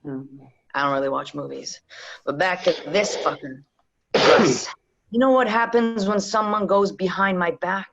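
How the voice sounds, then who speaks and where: A woman reads a cool, dangerous gang boss who runs a card table, keeping her voice low and even and dropping to soft, threatening whispers. The audio is dull and lo-fi. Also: unhurried, a little hiss or hum. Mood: menacing. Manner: calm, quietly threatening, drops to whispers. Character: cool menacing female card-game crime boss. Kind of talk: pilot table read.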